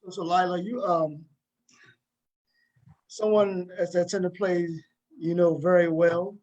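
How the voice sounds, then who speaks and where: A man's voice: sits high, steady tone, unhurried, darkish tone, muffled recording. A man hosting a casual talk show interview, encouraging his guests and often repeating himself. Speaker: host interviewing a play's cast.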